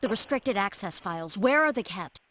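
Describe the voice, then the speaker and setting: A determined female secret agent from a video game, acted by a woman, speaks in scripted lines at a medium pitch. The clips carry light background noise. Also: lively intonation, normal speed, fairly bright tone, close to an everyday voice. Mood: insistent. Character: determined female agent infiltrating an enemy computer system.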